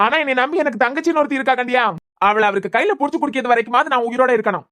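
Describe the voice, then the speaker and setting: Woman playing a husband, young, bitter, sarcastic, fast-talking, repeats himself in anger. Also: sits high, a bit bright. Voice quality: sarcastic, bitter, repeating words when angry. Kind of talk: amateur audio drama.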